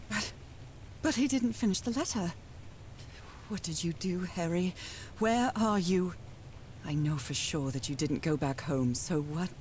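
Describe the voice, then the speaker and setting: An actress playing an ailing, determined woman explorer of the 1930s in a video game, musing to herself in halting questions. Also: lowish voice, a little mellow, a little hiss or hum. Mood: shaken, searching. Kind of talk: dramatic video game monologue, thinking aloud.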